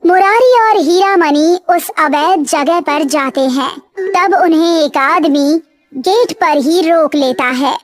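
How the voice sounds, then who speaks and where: Very high-pitched, unhurried female story narrator. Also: no echo. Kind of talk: scripted children's story narration.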